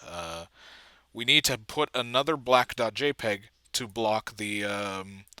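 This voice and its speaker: A man giving a casual walkthrough as an amateur video editor, thinking aloud haltingly and trailing off. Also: medium pitch, pushed and bright, no room sound. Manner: halting, trailing off mid-thought.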